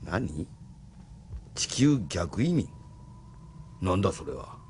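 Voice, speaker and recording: A man's voice: deep voice, big pitch swings, takes it slowly, darkish tone, a little hiss or hum, exaggerated voice. An actor in a Japanese audio drama playing a sardonic, unruffled retired rebel in his seventies, speaking with blunt familiarity. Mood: sardonic, challenging. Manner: familiar, gruffly worldly, sardonic. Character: wry, unruffled 75-year-old retired male rebel activist.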